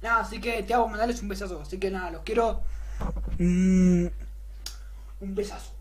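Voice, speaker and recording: A man's voice: high-pitched, fairly even intonation, moderate pace, a little mellow, slight background noise, low-quality recording. A young man with a Rioplatense Spanish accent chatting casually as a prank-video host, often repeating himself. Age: teens to young adult. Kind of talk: casual chat to followers and a caller. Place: small bedroom, indoors.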